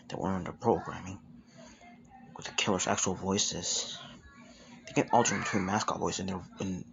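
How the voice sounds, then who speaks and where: A very low voice with heavy gravel delivers a dark horror monologue. The telling is grave, urgent and ominous, in the manner of amateur voice acting. There is faint noise in the home recording. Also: rough recording. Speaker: amateur voice actor.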